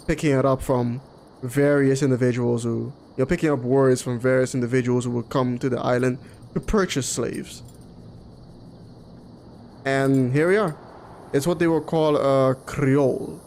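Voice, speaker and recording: A man's voice: mid-range voice, a little mellow. A man from Curaçao with Papiamento as his mother tongue, chatting casually and opinionatedly as he streams a game. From Curaçao, in the Caribbean. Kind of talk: casual live stream chat over gameplay. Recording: clearly noisy background.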